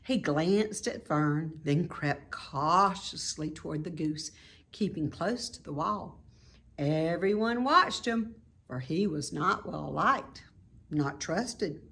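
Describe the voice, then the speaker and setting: Slow, very animated woman reading a storybook. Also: sits low. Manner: expressive, deliberate read-aloud delivery. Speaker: storybook reader. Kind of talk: scripted narration of a children's novel.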